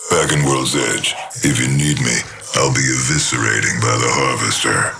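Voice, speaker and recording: Gravelly, menacing killer machine. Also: male voice, rumbling low, steady tone, sharp tone, soft background music, heavily acted. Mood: menacing relish. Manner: menacing, sardonic, drawn-out delivery. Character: murderous, mocking killer machine, male, menacing and contemptuous. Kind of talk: video game character voice lines.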